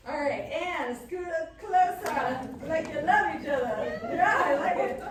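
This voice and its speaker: Effusive lady photographer, really high voice, super echoey, crowd around her. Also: expressive, measured pace. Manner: effusive, encouraging. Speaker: event photographer. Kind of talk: casual chat, directing a group photo. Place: large echoey indoor event room. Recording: very echoey room, distant, crowd noise.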